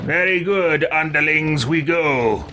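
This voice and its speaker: A man's voice: a little high, slow, pitched above the performer's own voice. A man voicing a pompous, grandiose adventurer in video game roleplay, haughty and theatrical, stammering when confused. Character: pompous, grandiose male adventurer bent on ruling. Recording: game music behind the voice.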